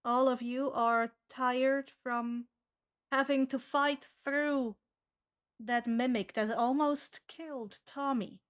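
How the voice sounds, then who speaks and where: High-voiced woman game master, unhurried. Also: steady tone, clear, resonant tone. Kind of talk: slow narration of a tabletop fantasy game.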